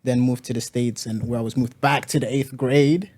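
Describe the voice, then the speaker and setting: A young man in his twenties, born in Angola, co-hosting a casual podcast chat and jumping in with quick remarks. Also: mid-range voice. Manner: quick, lively interjections. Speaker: podcast co-host.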